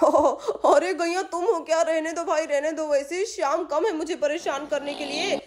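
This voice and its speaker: A woman's voice: high voice, steady tone, normal speed, noisy background, lightly acted. A woman voicing a sleepy, grumbling father in a children's doll cartoon, drowsy and complaining.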